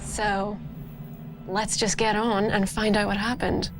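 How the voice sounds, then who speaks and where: A woman's voice: mid-range voice, level tone, slow pace, over music, lightly acted. A woman playing a wary, world-weary survey team boss in a tabletop roleplay, speaking in a dry, clipped way. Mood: firm, impatient.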